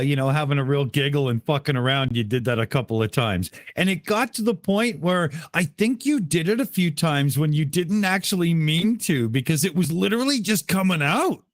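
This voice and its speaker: A man's voice: a little high, no room sound, studio quality. A man hosting a casual call, joking loosely and swearing. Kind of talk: casual chat.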